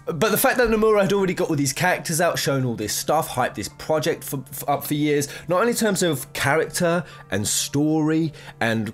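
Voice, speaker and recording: Animated young man ranting about games. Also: a little high, brisk, faint music behind. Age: young adult. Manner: animated, rambling, frequent restarts. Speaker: gaming video host. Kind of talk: opinionated commentary to camera. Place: small room, gaming chair, green-screen backdrop.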